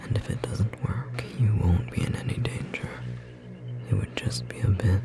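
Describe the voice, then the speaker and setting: A performer voicing a confident, tender storm sorcerer partner in a whispered ASMR roleplay, breathy and slightly rough, guiding slowly and soothingly. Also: mid-range voice, over loud music. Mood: playful confidence. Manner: slow, soothing, intimate guiding delivery.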